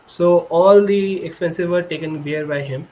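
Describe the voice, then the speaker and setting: A young man whose first language is Hindi speaks over a video call with a somewhat high voice. He explains things earnestly and often restarts his sentences, with a faint background hum. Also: measured pace, darkish tone, rough recording. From India. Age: 20s. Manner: earnest, explanatory, frequent self-corrections. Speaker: guest, PhD student. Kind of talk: remote podcast interview. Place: indoor room with a high-backed chair.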